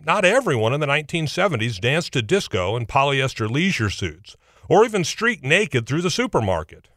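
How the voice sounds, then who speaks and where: A man's voice: a little high, a bit bright, no room sound, studio quality. An American man hosting a history program, delivering a scripted essay in a folksy, wry, emphatic way. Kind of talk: scripted radio essay narration.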